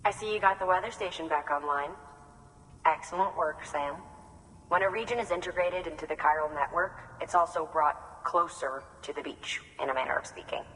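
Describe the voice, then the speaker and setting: An actress playing a composed female scientist who gives measured briefings in a video game. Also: deep voice, a bit bright. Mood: composed, explanatory. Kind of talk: video game cutscene acting. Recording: long reverb, faint noise.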